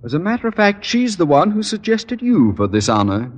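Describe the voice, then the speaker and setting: Pompous principal, wildly animated. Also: male voice, high-pitched, a little fast, muffled recording. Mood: smooth, self-important. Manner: pompous, officious, formal. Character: pompous, blustering male high school principal. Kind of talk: old-time radio situation comedy acting. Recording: noisy old radio recording, reverberant hall.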